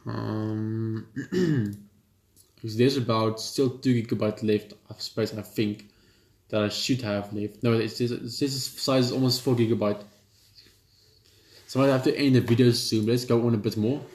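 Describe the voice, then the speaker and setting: Guy reading a visual novel out loud, trips over words, echoey room. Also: on the low side, steady tone, unhurried, long pauses. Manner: plain, stumbling over words while reading. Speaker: gaming YouTuber.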